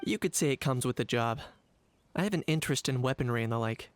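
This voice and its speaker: An actor plays a pragmatic young male warrior in a video game. His medium-pitched voice stays composed and dry, and he talks in a matter-of-fact way. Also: lively intonation, fast talker, clean tone, close to an everyday voice.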